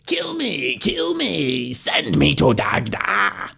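A man voicing a battered hobgoblin priest, a fanatical cult prophet with a raspy, breathy voice and a slow, reverent, gasping delivery for a fantasy audiobook. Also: on the high side, wildly swooping pitch, sharp tone, pitched above the performer's own voice, exaggerated voice. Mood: desperate shrieking.

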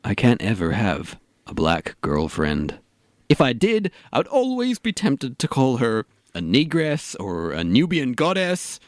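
Animated, self-mocking middle-aged man played by an actor. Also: mid-range voice, measured pace, dry recording, studio quality. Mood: wry confession. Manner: wry, self-deprecating. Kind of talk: audio sitcom dialogue.

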